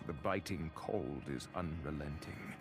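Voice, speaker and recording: A man's voice: very deep voice, unhurried. A man playing a grim, determined fantasy warrior in a video game, speaking in terse, brooding, dramatic lines. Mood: grim resolve. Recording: loud game music and effects.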